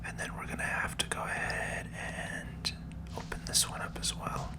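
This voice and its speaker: Whispered ASMR gaming host. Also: normal speed. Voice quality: breathy unvoiced whisper. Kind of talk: whispered ASMR game commentary.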